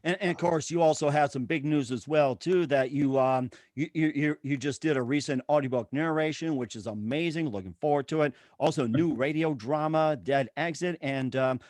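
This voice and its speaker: A man with a fairly high voice hosts a show in a wordy, sometimes stumbling delivery. The sound is dry, with almost no room around him. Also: darkish tone, no room sound. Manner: halting, wordy, stumbles over words. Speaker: show host. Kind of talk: ad reads and interview hosting.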